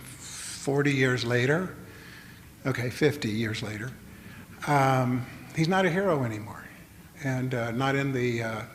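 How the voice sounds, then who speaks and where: An older man giving a public lecture, talking in a halting way with many fillers and restarts. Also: mid-range voice. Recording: long hall reverb, faint noise.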